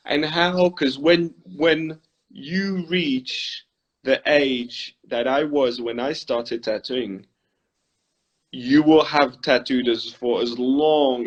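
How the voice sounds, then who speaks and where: A man's voice: medium pitch, slow, highs cut off. A middle-aged man hosting a casual interview, speaking thoughtfully and rephrasing as he goes. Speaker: interviewer, host.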